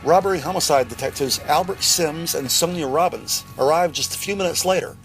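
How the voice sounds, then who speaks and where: Higher-pitched male audio drama narrator. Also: a little fast, soft background music, rough recording. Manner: detailed, descriptive storytelling.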